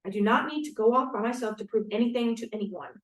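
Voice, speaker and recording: A woman's voice: fairly high pitch, expressive, fast, lightly acted. A woman acting as an earnest young 1940s bride-to-be who longs for home and family, in a script read-through. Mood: wistful. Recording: distant, echoey room microphone.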